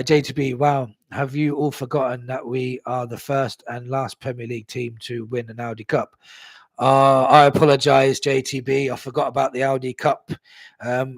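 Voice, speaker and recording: A man's voice: medium pitch, no echo. A young man hosting a live stream, chatting casually about his club, reading out viewer comments and swearing easily. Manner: laid-back, chatty, swears, laughs at himself.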